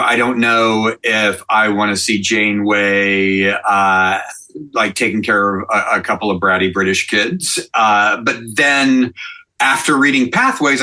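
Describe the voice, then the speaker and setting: A man's voice: on the low side, sharp tone. A man on a podcast panel, talking casually and analytically as he thinks aloud. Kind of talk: casual discussion.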